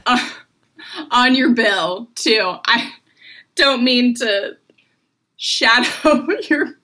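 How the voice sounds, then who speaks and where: A woman's voice: fairly high pitch, drawn-out words, pushed and bright. A woman co-hosting a podcast, giggly and enthusiastic in casual chat. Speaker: podcast co-host.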